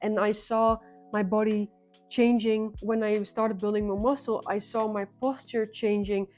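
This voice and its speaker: A woman's voice: medium pitch, flat delivery, unhurried, a little mellow, soft background music. A young Dutch woman with an accent, speaking English as a second language, telling a personal story in an earnest vlog with frequent restarts. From Netherlands. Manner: earnest, restarts phrases often. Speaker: vlogger.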